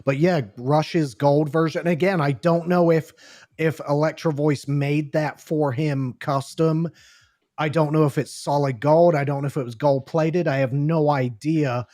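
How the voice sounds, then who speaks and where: A man's voice: on the high side, steady tone, a little slow, warm tone, dry recording, studio quality. A man hosting a live talk show, speaking knowledgeably and often repeating his words as he goes. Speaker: show host. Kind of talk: casual chat on a live show.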